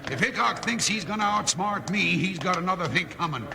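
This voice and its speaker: An actor playing an ill-tempered outlaw gang boss, blustering and snarling insults in a 1950s radio western. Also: male voice, sits high, expressive, brisk, creaky, over music. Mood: urgent. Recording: noisy old radio, long echoing tunnel reverb.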